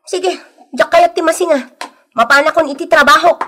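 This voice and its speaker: An actress playing a headstrong, secretive grown daughter in an Ilocano radio drama. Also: female voice, high voice, normal speed, sharp tone, a little hiss or hum. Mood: defiant, irritated. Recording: old radio recording with music behind.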